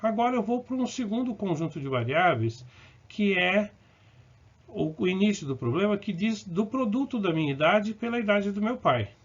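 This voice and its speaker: A man's voice: sits high, big pitch swings, normal speed, breathy edge. A man teaching math, explaining step by step and correcting himself as he goes, with short check-ins to the viewer. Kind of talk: recorded lesson working through problems. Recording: dull, lo-fi recording.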